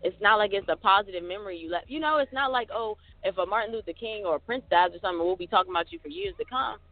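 A man's voice: sits high, lively intonation, very fast, no room sound. A man co-hosting a casual podcast, chatting in a rambling way with lots of fillers. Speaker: podcast co-host. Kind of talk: casual chat. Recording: tinny phone-like sound, dry.

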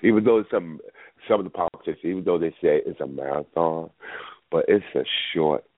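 A man's voice: deep voice, brisk, no echo. A man hosting a call-in radio show, rambling casually with lots of fillers, fighting a cold. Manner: rambling, thinks aloud with many fillers. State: battling a cold. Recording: tinny phone line, dry.

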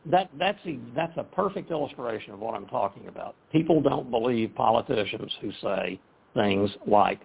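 Hoarse man on a tinny phone line. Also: mid-range voice, expressive, normal speed. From Texas and Oklahoma. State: hoarse, losing his voice. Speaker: guest journalist. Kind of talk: talk radio interview.

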